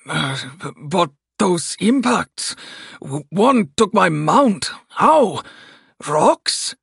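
A man's voice: high voice, big pitch swings, drawn-out words, creaky, a bit bright, slightly rough, no room sound, studio quality, full character voice. A man voicing a pompous, naive young princely nobleman in an audiobook, boastful and haughty, prone to faltering. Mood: shaken, confused.

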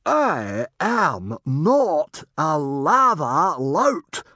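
A man's voice: medium pitch, very animated, moderate pace, a bit bright, no room sound. A man voicing a self-important middle-aged Viking hero, breezy, protesting and easily exasperated, in a storybook.

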